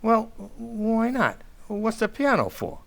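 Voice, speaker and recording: A woman's voice: fairly high pitch, sing-song, slow, gravelly, heavily acted. A woman playing a grumbling, easily exasperated working-class husband in a radio comedy, with a folksy, ungrammatical, blustering delivery. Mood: puzzled. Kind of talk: old-time radio comedy acting.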